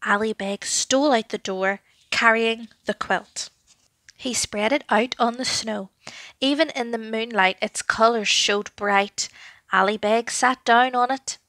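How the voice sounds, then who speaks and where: A woman narrating a children's story with an expressive, deliberate, dramatic delivery. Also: fairly high pitch, no room sound.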